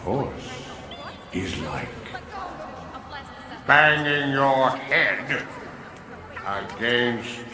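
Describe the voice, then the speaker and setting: An actor playing a menacing, taunting dark god in a radio drama, speaking with slow, deliberate threat. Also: male voice, mid-range voice, sing-song. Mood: taunting, commanding. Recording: echoey, music under the voice.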